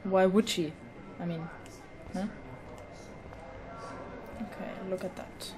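A woman's voice: mid-range voice, steady tone, takes it slowly, a little mellow. A woman casually commenting as she plays a game, offhand and hesitant, with dry sarcastic asides. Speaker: let's play host.

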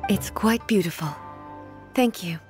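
A woman plays an immortal female ruler from a video game, stately and solemn. She speaks slowly in formal, measured phrases with a reflective temper. Faint music sits behind the voice. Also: mid-range voice, close to an everyday voice. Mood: composed.

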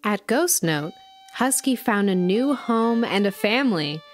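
A woman playing an earnest music documentary podcast host, delivering measured, dramatic scripted narration in an audio drama. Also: medium pitch, lively intonation, close to an everyday voice. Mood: earnest, intrigued.

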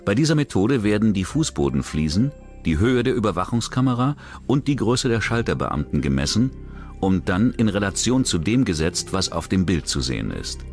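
A man giving a measured, grave scripted narration for a crime documentary. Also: lowish voice, sing-song. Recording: voice-over over loud music.

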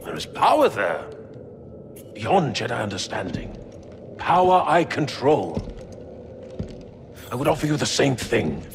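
An actor playing a grizzled, power-hungry fallen master in a video game, theatrical and grandiose. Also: male voice, medium pitch, wildly swooping pitch, measured pace, vocal fry, pauses often, noisy background, full character voice. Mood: menacing, inviting. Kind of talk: video game cinematic acting.

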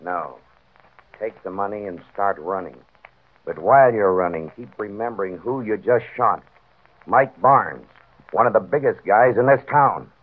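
An actor playing a bossy, mocking big-shot gambler in an old-time radio crime drama, with an offhand, commanding way of talking. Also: male voice, on the low side, a little slow. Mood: tense, menacing. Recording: vintage radio, narrow phone-like band.

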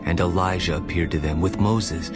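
A male narrator reads scripture from a script in a deep voice. Prominent music plays behind the narration. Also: fairly even intonation, moderate pace.

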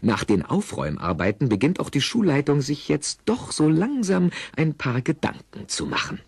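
A man with a husky voice narrating an audio drama in a wry, playful storytelling manner. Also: on the high side, big pitch swings, normal speed, no echo, clean studio sound.

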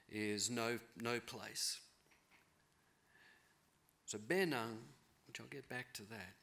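A middle-aged man giving a keynote lecture from a podium in a large auditorium, thoughtful and measured, often rephrasing himself. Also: mid-range voice, sharp tone. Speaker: keynote speaker, novelist. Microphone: podium mic.

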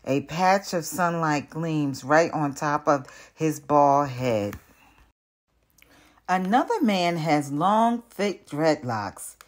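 An older woman, a retired teacher, reading a picture book aloud in careful, deliberate narration. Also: low voice, lively intonation.

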